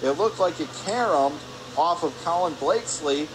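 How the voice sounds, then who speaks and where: A man with a fairly high voice calls hockey play-by-play in a radio style. His phrases are clipped and urgent as he follows the puck. Clear ice rink noise runs behind him. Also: expressive, moderate pace. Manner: clipped, urgent phrases while calling action. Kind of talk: live radio sports play-by-play commentary. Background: ice rink ambience.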